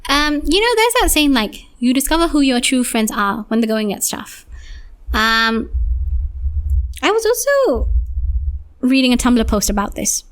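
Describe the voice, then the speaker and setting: A young woman hosting a solo podcast, slightly hoarse, talking in a rambling, reflective way with many restarts. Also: high-pitched, sing-song, measured pace, pauses often. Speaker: podcast host.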